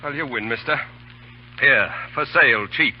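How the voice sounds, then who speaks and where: An actor playing a flippant, drily humorous young cavalry lieutenant in a vintage radio drama. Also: male voice, medium pitch, normal speed, lightly acted. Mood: dry, amused. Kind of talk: 1930s-40s radio drama acting. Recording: dull, noisy old radio recording.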